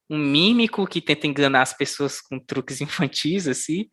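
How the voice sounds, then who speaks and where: A podcast host who slowly lays out a book's plot and then asks the guest a long, thoughtful question. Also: mid-range voice, a bit bright. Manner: thoughtful, slow, summarizing before asking. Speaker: podcast interviewer. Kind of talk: interview questions.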